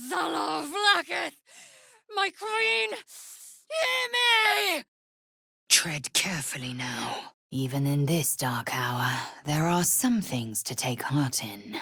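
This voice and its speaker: A woman playing a proud, fierce githyanki warrior in a video game, with a husky, raspy voice and a clipped, formal, commanding way of talking. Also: medium pitch, pushed and bright, dry recording, clean studio sound, exaggerated voice. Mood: fierce, shouting, then hushed, grave. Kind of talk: video game character voice lines.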